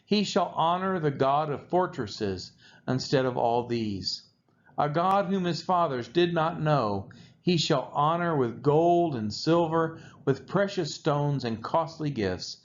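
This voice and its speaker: A man's voice: on the high side, animated, slow. A man reading scripture aloud in a solemn, scripted style, phrase by phrase with emphatic stresses. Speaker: scripture reader. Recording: dull lo-fi recording with faint noise.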